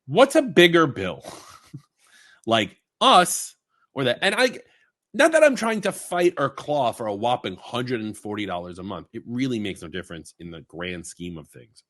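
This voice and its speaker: A man from Long Island, co-hosting a podcast in rambling, animated casual chat. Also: medium pitch, moderate pace. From Long Island, New York. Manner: rambling, animated storytelling with fillers and asides. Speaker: podcast co-host.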